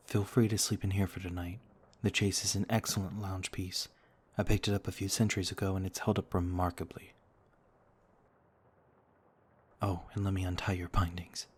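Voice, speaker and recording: A man playing a gentle, ancient noble vampire in an ASMR roleplay, speaking in a courtly, soothing way. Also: deep voice, slightly rough. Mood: tender, grateful. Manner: courtly, formal, soothing, reassuring. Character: gentle noble male vampire, over a thousand years old, looks thirty-two.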